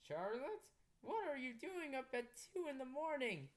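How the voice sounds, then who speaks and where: A woman voicing a kindly middle-aged father, calm and plain. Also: high-pitched, unhurried, pitched above the performer's own voice.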